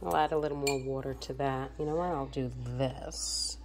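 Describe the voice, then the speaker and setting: A woman's voice: very deep voice, fairly even intonation, normal speed, a little mellow, a little hiss or hum. A woman from the United States in her home kitchen, chatting through each step of her meal prep as she cooks. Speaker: home cook vlogger. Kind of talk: casual cooking narration.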